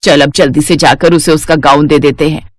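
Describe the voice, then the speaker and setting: A woman's voice: low voice, steady tone, moderate pace, dry recording, studio quality, voice pushed down. A woman voicing a scheming, contemptuous middle-aged stepmother in a cartoon story, sly, bossy and coaxing. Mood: conniving. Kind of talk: Hindi cartoon story character acting.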